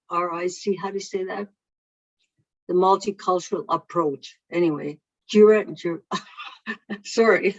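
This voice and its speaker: A keynote speaker for whom English is a second language, reading a prepared speech in a slow, deliberate way over a video call. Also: medium pitch, no room sound. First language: not English. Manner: slow, measured and deliberate delivery. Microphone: video call microphone. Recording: dull lo-fi online call audio.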